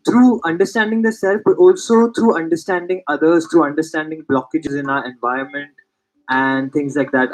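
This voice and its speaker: A man from India, a yoga teacher, introducing himself in an earnest, measured, reflective way. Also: fairly high pitch, rough recording. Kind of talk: self-introduction.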